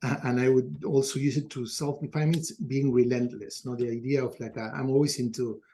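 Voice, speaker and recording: A man's voice: mid-range voice, fairly even intonation, a little fast, mellow. A middle-aged man from Argentina with an Argentine Spanish accent, answering reflectively as a podcast guest in a conversational way. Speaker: podcast guest, culture consultant.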